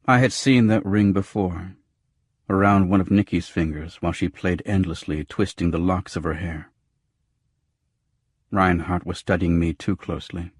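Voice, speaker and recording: A man's voice: deep voice, a little mellow, dry recording. A man reading scripted first-person detective fiction as an audiobook narrator, close to the microphone.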